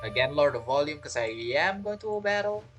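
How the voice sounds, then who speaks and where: A man's voice: fairly high pitch, animated, brisk. A man giving casual game commentary, musing aloud, repeating phrases and asking himself questions. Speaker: gamer narrating a playthrough. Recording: game music behind the voice.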